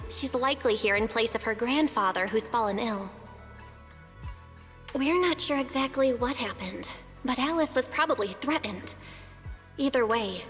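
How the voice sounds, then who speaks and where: A woman's voice: high-pitched, fairly bright tone. A woman playing a casual, frank and spirited young investigator sister in an anime-style game.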